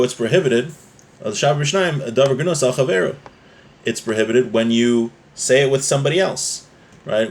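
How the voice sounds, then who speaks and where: Male religious teacher, mid-pitched, informal and explanatory, moving between reading a legal text and commenting on it. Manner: explanatory, often checking with "right?".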